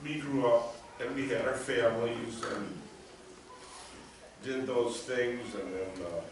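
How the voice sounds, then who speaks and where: A man with a big deep voice giving a eulogy, pausing often and laughing between memories. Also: steady tone. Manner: halting, with pauses and fillers, laughs between stories. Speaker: eulogy speaker. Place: memorial gathering, room with listeners. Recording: echoey room from far off, noisy.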